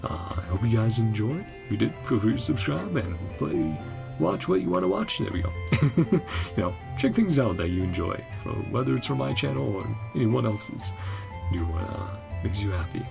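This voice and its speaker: A man hosting a gaming reaction channel, chatting casually in a hesitant, meandering way with frequent soft chuckles. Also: on the low side, sing-song, moderate pace, gravelly, over music. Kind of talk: casual chat, game reaction commentary.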